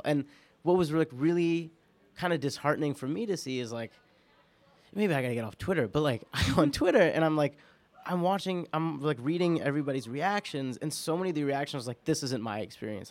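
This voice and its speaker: A man from New York with an American accent, guest-hosting a casual podcast and thinking aloud haltingly, repeating words as he goes. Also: fairly high pitch, lively intonation, dry recording. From New York, United States. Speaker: podcast guest host and producer. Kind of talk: casual chat.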